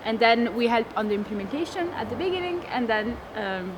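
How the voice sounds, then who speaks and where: A woman in her twenties from Lebanon and France, speaking in a slightly high voice. She answers interview questions casually, stopping often with fillers and restarts. Clear background noise runs under her speech. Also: lively intonation, fairly quick. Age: 20s. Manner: hesitant, many fillers and restarts. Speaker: food waste network founder. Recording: noisy background.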